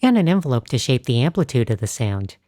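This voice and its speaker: A woman hosting a tutorial, narrating scripted explanations in a clear, explanatory tone. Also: rumbling low, very animated, quick pace, mellow, no echo, clean studio sound. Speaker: host.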